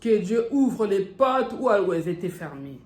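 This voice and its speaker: A woman's voice: medium pitch, sing-song, unhurried, a little mellow, echoey room. A woman from Africa giving emphatic family advice to camera and checking in with her listeners. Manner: emphatic and preachy, checks in with "d'accord?"; ends with blessings in a sermon-like cadence.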